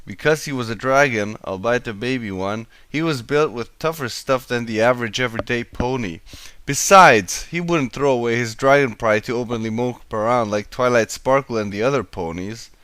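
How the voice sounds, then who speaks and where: Clear-voiced man reading a story. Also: mid-range voice, moderate pace, a bit bright. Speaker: amateur fan fiction reader. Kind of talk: scripted story narration. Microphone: home microphone.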